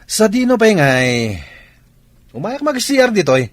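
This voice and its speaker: A man playing a lazy, scheming married younger brother in a Filipino radio comedy-drama, with a husky voice and a Filipino accent. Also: high-pitched, very animated, unhurried, no room sound. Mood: brash, mocking.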